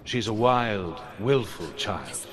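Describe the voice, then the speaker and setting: An actor playing a grave storyteller recounting Norse legends in a game, with dramatic delivery. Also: male voice, on the low side, very animated, takes it slowly, crowd noise. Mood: solemn, foreboding.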